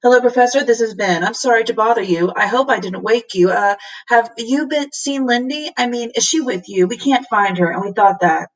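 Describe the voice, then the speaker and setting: A woman voicing a worried young boy in a storybook, polite, earnest and hurried in manner. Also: on the high side, recorded from a distance, voice pushed up, low-quality recording. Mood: anxious.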